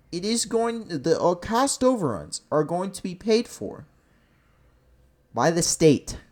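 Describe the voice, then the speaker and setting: Exasperated sports fan with wildly swinging pitch. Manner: exasperated, emphatic, profane. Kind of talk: unscripted opinionated sports rant.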